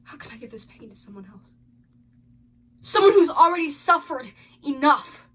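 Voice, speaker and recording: An amateur actress performing a stage monologue as a grieving, principled mother, halting and anguished. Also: mid-range voice, steady tone, bright, forceful tone, slight background noise, natural voice. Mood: resolute, grieving. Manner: halting, anguished, weighty pauses. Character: grieving, principled mother who lost her daughter.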